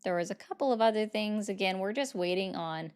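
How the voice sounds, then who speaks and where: A woman's voice: mid-range voice, a little fast, darkish tone, clear, resonant tone. A woman hosting a casual political livestream, chatting with viewers and thanking them for gifts.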